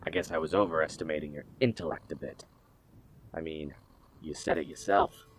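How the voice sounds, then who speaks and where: A man's voice: a bass voice, brisk, slight background noise, poor audio. A man playing a smug, menacing gang boss in an audio drama, taunting and condescending as he draws out his words. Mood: mocking. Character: smug, menacing male boss of a hit squad.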